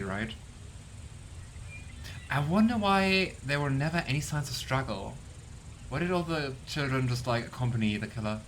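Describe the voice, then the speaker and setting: A young man casually commenting on a game he plays, musing aloud and unsure, often trailing into low mumbles. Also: a bit bright, a little hiss or hum. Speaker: let's play host.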